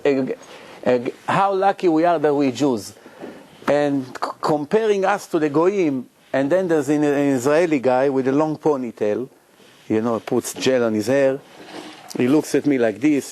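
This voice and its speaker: A man, a rabbi, telling stories in a lively lecture to his congregation, acting out the people he quotes. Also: medium pitch. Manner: animated, acts out quoted voices, frequent restarts.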